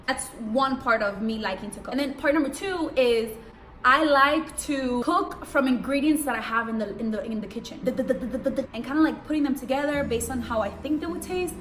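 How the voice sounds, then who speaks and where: A young woman born in Cuba and raised in Israel, whose first language is Hebrew, rambling fast and slangy through a casual vlog in a room set up for filming. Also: fairly high pitch, a little hiss or hum. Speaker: vlogger. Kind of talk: casual get-to-know-me vlog. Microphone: camera mic.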